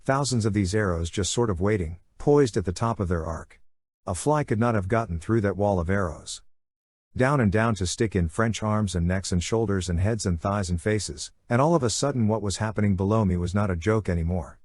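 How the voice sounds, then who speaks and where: Male audiobook narrator, slightly low and rough-edged, conversational yet dramatic delivery of a young adult adventure. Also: brisk, darkish tone, no echo, studio quality. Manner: conversational, punchy short phrases, acts out sound effects.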